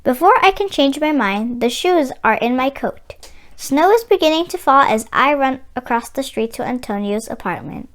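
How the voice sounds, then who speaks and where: High-pitched, animated woman reading a storybook. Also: normal speed. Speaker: read-aloud channel host, storyteller. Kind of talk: expressive children's picture book read-aloud.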